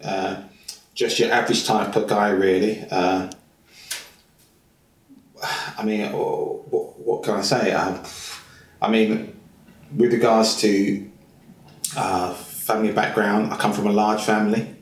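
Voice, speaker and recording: A man's voice: lowish voice, flat delivery, a little slow. Chatty fifties Englishman in a roomy space. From England. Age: 50s. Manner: rambling, hesitant, many fillers and repeated phrases. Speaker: interview guest. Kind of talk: casual interview chat. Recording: roomy echo.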